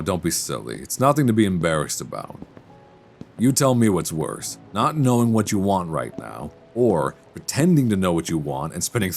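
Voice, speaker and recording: A handsome, youngish professor played by a man speaks in a deep, expressive voice, close and intimate. He is kindly and bookish, musing and gently flirtatious, as in an audio roleplay. Faint music sits behind him. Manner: soft chuckles, reassuring, gently teasing, musing. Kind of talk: intimate audio roleplay, one side of a conversation. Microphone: close binaural microphone.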